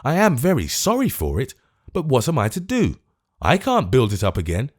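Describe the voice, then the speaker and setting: A man's voice: medium pitch, wildly swooping pitch, normal speed, darkish tone, husky, voice pushed up. A man voicing a testy, fussy, self-important Lord Mayor. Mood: testy, fretful. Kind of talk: audiobook character voice.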